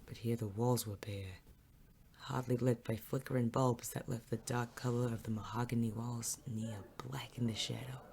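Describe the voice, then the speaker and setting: Woman playing a low-voiced, sardonic noir male detective. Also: moderate pace, creaky, a little hiss or hum. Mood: brooding narration. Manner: deadpan, sardonic. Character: wry, gruff male noir police detective, narrating his case. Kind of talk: noir audio drama dialogue and first-person narration. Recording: music behind the voice.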